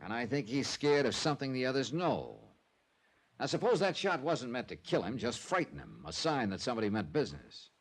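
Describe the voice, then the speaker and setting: An actor with an American accent playing a wry, hard-boiled insurance investigator in an old-time radio drama, terse and dry in both narration and dialogue. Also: male voice, mid-range voice, sing-song, fairly quick, slight background noise. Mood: brooding, deliberate. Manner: terse, dry, tough-talking.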